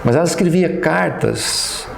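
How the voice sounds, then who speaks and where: Gravelly Brazilian man, hesitant storyteller. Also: fairly high pitch, animated, unhurried, reverberant, a little hiss or hum. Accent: Brazilian Portuguese. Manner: hesitant, many fillers and restarts, reflective. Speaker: pastor and professor interviewee. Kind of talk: reminiscing interview.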